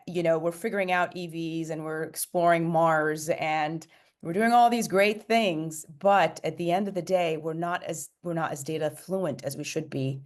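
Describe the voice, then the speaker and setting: A woman's voice: low-pitched, steady tone, moderate pace. A woman in her late forties, born in Egypt, talking about her career as a startup chief executive in a podcast interview, fluent but with frequent fillers and restarts. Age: late 40s. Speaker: startup CEO guest.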